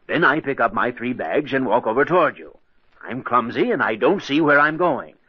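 An actor playing a cocky, impatient diamond smuggler in an insistent, persuasive old-time radio drama style. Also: male voice, mid-range voice, sing-song, moderate pace, husky, no echo. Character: scheming smooth-talking male diamond smuggler, impatient and cocky. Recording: dull, lo-fi old radio recording.

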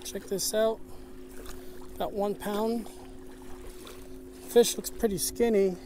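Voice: mid-range voice, steady tone, slow pace, dark tone. A fishing vlogger giving casual commentary in short, plain remarks to viewers, recorded on an action camera outdoors by a lake. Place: outdoors on an open lake shore. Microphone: chest or head-mounted action camera. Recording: outdoor action camera with clear ambient noise.

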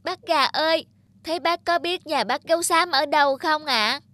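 A woman's voice: sits high, moderate pace, bright, forceful tone, no room sound, voice pushed up. A woman voicing a kind, determined young male puppy in a children's cartoon. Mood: polite, weary. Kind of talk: children's cartoon storytelling dub.

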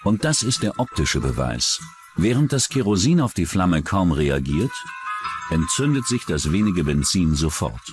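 A male narrator with a low, deep voice delivers scripted true-crime documentary narration. His intonation moves around a lot, and music plays clearly underneath him. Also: very animated, moderate pace.

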